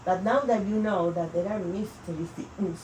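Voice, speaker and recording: A woman's voice: mid-range voice, normal speed, a little mellow. Woman speaking earnest in an echoey room. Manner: non-native English with small grammar slips, earnest. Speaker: interviewer and retreat facilitator. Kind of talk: interview conversation. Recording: echoey room, mic at a distance, faint noise.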